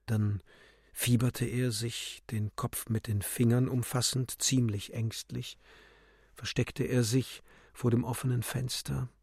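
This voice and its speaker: A man narrating a literary radio drama in a theatrical way, moving between declamation and hushed, measured reading. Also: medium pitch, level tone, vocal fry, breathy edge, dry recording, clean studio sound.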